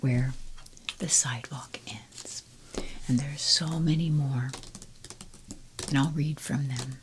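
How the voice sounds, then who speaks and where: A woman reading children's poems aloud in a soft, gentle whisper, close to the mic. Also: very deep voice, fairly even intonation, slow, a little hiss or hum. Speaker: storybook reader. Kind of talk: whispered ASMR poetry reading.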